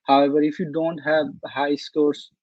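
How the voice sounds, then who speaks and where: A man talks earnestly over a video call, his pitch staying flat and even. The sound is dry and dull, like lo-fi call audio. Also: normal speed, no echo. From Nepal. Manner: earnest, repeats words while searching. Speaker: webinar panelist, doctor. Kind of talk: casual advice talk.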